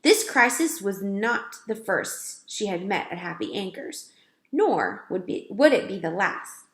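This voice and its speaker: A woman reading a children's storybook aloud in a clear, expressive way. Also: medium pitch, measured pace. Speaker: read-aloud reader. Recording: roomy echo.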